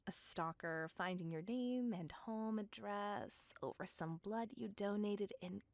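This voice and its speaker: A woman playing a courtly, besotted, ancient female vampire in an ASMR roleplay, speaking in formal, ornate, breathy phrases that often sink toward a whisper. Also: mid-range voice, measured pace, no echo, lightly acted. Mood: apologetic, formal. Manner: formal, old-fashioned, ornate, pleading speech. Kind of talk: ASMR roleplay, scripted monologue.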